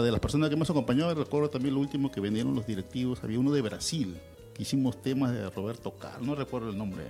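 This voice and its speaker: A man, a pianist guest recalling his work and past gatherings in an earnest, quick way. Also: mid-range voice, a little mellow. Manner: quick, earnest reminiscing with repeated words. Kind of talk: casual conversation.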